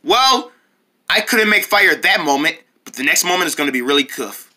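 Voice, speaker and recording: A man's voice: fairly high pitch, brisk, full character voice. A man voicing a stubborn, easily flustered would-be fire maker in an improvised skit, blustering and repeating himself. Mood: boastful. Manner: repetitive, blustering, excitable.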